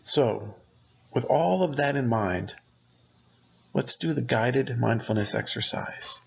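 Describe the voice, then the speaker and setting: A man narrating a guided meditation as its guide, speaking in gentle, deliberate short phrases. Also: medium pitch, creaky, muffled recording.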